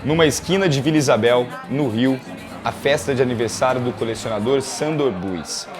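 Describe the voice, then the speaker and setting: A Brazilian man narrates a documentary from a script in a mid-pitched voice. Music plays clearly behind him. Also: moderate pace.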